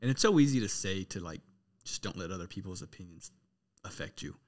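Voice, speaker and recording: American dude hosting a podcast, talks fast, lowish voice, earnest and informal. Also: male voice, expressive. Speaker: podcast host. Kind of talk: casual interview chat.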